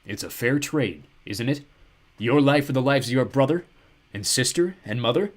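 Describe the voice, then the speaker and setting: A man voicing a huge, cruel ancient ogre lord who talks slowly and boastfully. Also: expressive, fairly bright tone. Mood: gloating. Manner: booming, slow and gloating. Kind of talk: audiobook character voice.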